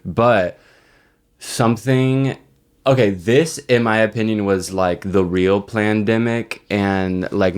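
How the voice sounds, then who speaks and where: A man's voice: low voice, clear, resonant tone. A young adult man co-hosting a podcast in casual comedic banter, rambling in a mock-serious way. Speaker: podcast co-host.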